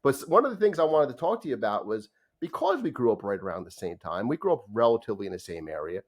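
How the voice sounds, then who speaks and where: Host: fifties Jersey man, mid-pitched, easygoing, laughs a lot, restarts sentences. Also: very animated, fast. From New Jersey, US. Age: 50s. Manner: chatty, laughing, trailing restarts. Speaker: podcast host. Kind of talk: casual podcast chat.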